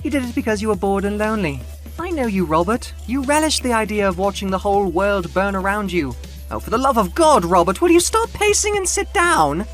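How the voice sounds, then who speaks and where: A man acts out a scolding artist in an audio drama, his voice pushed high and swooping with emphasis. He is sarcastic and exasperated, with music underneath. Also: very animated, brisk, fairly bright tone. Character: exasperated male painter, sharp-tongued and scolding.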